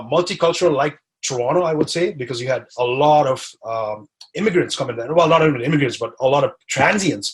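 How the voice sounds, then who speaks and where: A man's voice: mid-range voice, slightly rough. A man in his forties who grew up in Dubai, a podcast guest telling his story in a chatty way that keeps checking in with "right?" and "you know". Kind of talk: casual interview storytelling.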